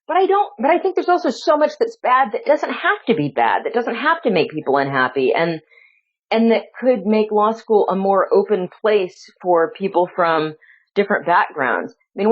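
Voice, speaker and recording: A woman's voice: medium pitch, lively intonation, moderate pace. A woman law professor answering interview questions in a thoughtful, reflective way, filling her talk with hedges and restarts. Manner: thoughtful, full of "you know" fillers and restarts. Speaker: law professor and researcher, guest. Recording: dull lo-fi audio.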